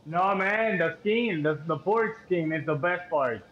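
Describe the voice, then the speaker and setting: A high-pitched young man with a Cuban accent chats loosely, tripping over his words and stacking "like" and "you know". His voice rings in a roomy space, and the sound is a little dull with faint hiss. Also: measured pace. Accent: Cuban Spanish. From Cuba. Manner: animated, repeats words, heavy on "like" and "you know". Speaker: podcast guest. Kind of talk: casual chat. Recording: dull echoey room audio with faint hiss.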